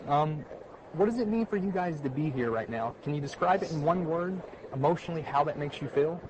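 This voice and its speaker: A man's voice: fairly high pitch, expressive, a little fast, noisy background. A man interviewing attendees in a chatty way, repeating their answers back to them. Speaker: event interviewer. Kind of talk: casual on-the-spot interview. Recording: crowd chatter at an outdoor event.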